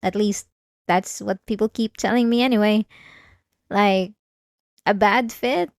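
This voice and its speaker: A woman playing an obsessive, manipulative therapy patient in an ASMR roleplay, outwardly calm and vulnerable, speaking haltingly. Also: mid-range voice, no room sound, clean studio sound, natural voice. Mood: soft, probing. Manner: hesitant, halting, with sighs and nervous soft laughs. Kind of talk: intimate ASMR roleplay monologue.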